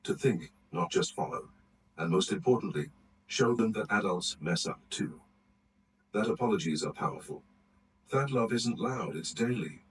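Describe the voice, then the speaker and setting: A man reading short aphorisms aloud in a measured, even narration. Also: fairly low pitch. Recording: distant mic with faint background noise.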